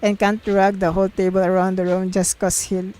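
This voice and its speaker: A woman's voice: medium pitch, little pitch movement, brisk, a little mellow. A woman streaming a story game, casually reading the dialogue aloud and reacting with giggly, sweary exclamations. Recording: music playing behind the voice.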